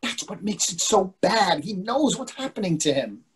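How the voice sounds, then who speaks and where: Guy acting an older husband in a play, raspy, worried and bossy, voice jumps all over. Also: high voice, normal speed, full character voice. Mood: anxious. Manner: animated, fretful, questioning. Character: anxious, bossy, needling husband in long marriage. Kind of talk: readers theatre play reading. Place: indoors on a video call.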